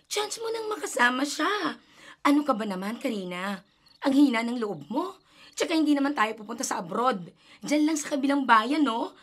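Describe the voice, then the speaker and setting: A woman's voice: sits high, very animated, normal speed, a bit bright, slightly rough, heavily acted. An actress playing a bold, reckless young woman who teases her friend and mixes in English words, in a radio drama. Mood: coaxing. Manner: brash, teasing, mixes in English.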